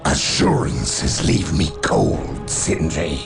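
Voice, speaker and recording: Gravelly, extremely deep chaos villain. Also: male voice, wildly swooping pitch, exaggerated voice. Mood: contemptuous. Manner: slow, sneering, pompous. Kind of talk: theatrical video game villain acting. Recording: music under the voice.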